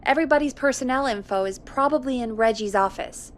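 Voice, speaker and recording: Actress as a higher-pitched, practical woman radio producer. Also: brisk, a little hiss or hum, lightly acted. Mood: matter-of-fact, wry. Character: practical, frank woman radio producer in 1980s small town. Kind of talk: video game voice acting, conversational.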